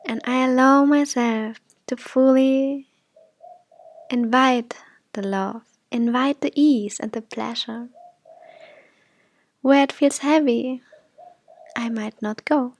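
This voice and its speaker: Softly whispery woman guiding meditation, slow and high. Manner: soft, breathy, often dropping to a whisper. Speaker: meditation guide. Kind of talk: guided affirmation meditation.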